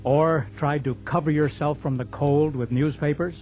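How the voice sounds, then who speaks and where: A man's voice: on the high side, steady tone, moderate pace, darkish tone. A man with an American accent reading scripted narration as the announcer of a radio drama.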